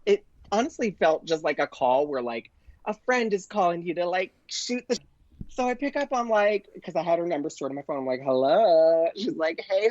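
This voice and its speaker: A man telling a casual anecdote as a podcast guest, gushing and excitable, saying "like" often and acting out both sides of conversations. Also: sits high, no echo, highs cut off. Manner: gushing, excitable, frequent "like", acts out dialogue. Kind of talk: casual anecdote, chatty storytelling.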